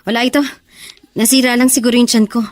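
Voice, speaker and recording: A woman's voice: a little high, level tone, fast talker, no room sound, lightly acted. A woman playing a wounded, guarded young woman who harbors anger, acted in a melodramatic, hesitant radio drama manner. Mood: evasive, uneasy. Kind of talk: Filipino radio drama acting.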